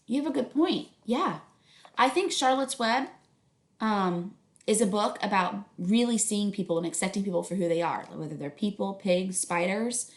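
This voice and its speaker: A woman's voice: medium pitch, normal speed. Woman teacher reading aloud in echoey room. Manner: instructive, questioning. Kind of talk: read-aloud narration with questions to students.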